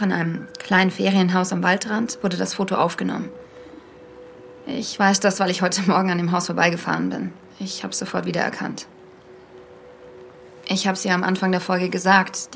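A woman's voice: fairly low pitch, creaky. A woman playing a haunted, searching podcaster in an audio drama, speaking with earnest intensity. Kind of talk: audio drama, first-person podcast narration.

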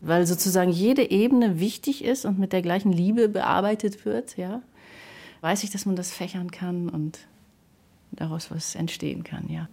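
A woman's voice: lowish voice, normal speed, gravelly, mellow. A woman radio artist giving a reflective interview, thoughtfully piecing her phrases together.

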